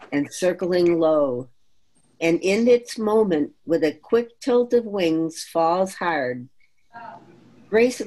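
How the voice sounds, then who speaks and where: A woman reading a poem aloud in a measured recital style at a group reading. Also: lowish voice, darkish tone. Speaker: poetry reader at a group reading.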